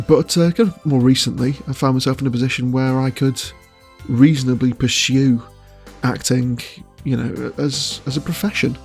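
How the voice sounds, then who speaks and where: A man's voice: mid-range voice, steady tone, normal speed, darkish tone, faint music behind. A man giving casual interview answers as a voice actor, choosing his words with hesitation and often chuckling.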